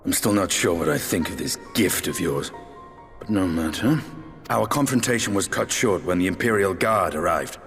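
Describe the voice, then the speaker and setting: A low-voiced, gruff lancer from a fantasy video game. He is aloof and dry, choosing terse, old-fashioned formal words. His voice rings with long reverb, and music plays behind him. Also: lively intonation, moderate pace, a bit bright. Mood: grave, matter-of-fact. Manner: terse, formal archaic phrasing. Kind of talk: fantasy game cutscene acting.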